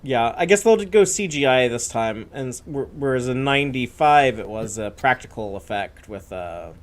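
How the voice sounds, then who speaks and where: A man in casual conversation as a show panelist, talking in a halting, rambling way. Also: mid-range voice, animated. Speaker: panelist on a live pop culture show. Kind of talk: casual chat, reminiscing.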